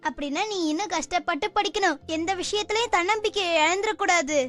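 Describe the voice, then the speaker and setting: High, scolding magical cat boy. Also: fast, a bit bright, soft background music. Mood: instructive. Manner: scolding, instructive. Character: stern magical cat boy helper, reproachful mentor. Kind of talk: dubbed cartoon acting.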